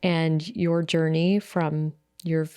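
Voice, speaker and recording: A woman's voice: sits low, level tone, slow pace, a little mellow, smooth, no echo, clean studio sound. A woman hosting a podcast interview, encouraging to her guest as she leads the questions. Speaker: podcast host.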